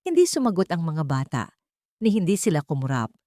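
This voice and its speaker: A woman narrating a scripted audiobook story in a deliberate, dramatic way. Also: low voice, big pitch swings, mellow, no echo, studio quality.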